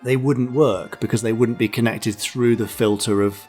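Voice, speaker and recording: A man's voice: mid-range voice, steady tone, normal speed, a little mellow, soft background music. A British man hosting a podcast, casually explaining things and answering listener questions. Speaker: podcast host.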